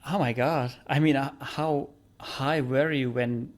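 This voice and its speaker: A man with a German accent hosting a podcast interview, asking slow, hesitant questions and laughing often. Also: mid-range voice, steady tone. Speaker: podcast host. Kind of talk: interviewing, casual chat.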